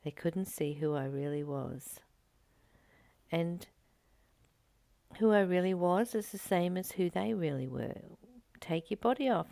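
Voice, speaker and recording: A woman reading a spiritual text slowly and meditatively, adding her own comments and dropping into soft whispers to stress a point. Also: fairly low pitch, gravelly, no echo.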